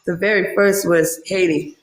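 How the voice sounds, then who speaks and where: A man speaks as a guest on a casual live-stream panel, in an earnest, searching way with many restarts. His voice is high for a man. The recording carries an echoey room sound around him. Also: fairly even intonation, normal speed, a little hiss or hum.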